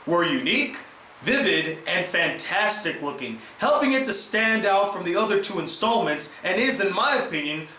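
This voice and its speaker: A man's voice: high voice, sharp tone, echoey room, slight background noise, rough recording. A man with a sore throat, giving an earnest, opinionated scripted film review. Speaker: movie reviewer.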